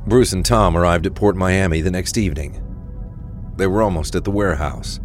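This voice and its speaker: A man's voice: deep voice, a little fast. A man reading scripted narration for an audio drama. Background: music.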